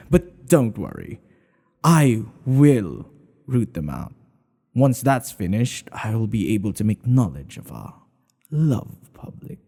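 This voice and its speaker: A man playing a smitten older king in an ASMR boyfriend roleplay, regal and teasing, with courtly phrasing and a breathy voice that often drops toward a whisper. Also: lowish voice, big pitch swings, slow, warm tone, exaggerated voice. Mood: reassuring, tender.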